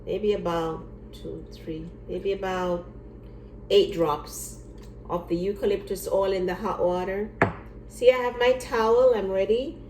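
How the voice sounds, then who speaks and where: A woman's voice: medium pitch, steady tone, measured pace, darkish tone. An older woman, a retired nurse, chatting casually at home as she walks through a simple remedy step by step, often repeating herself. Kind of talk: casual home demonstration video. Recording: echoey room with faint background hiss.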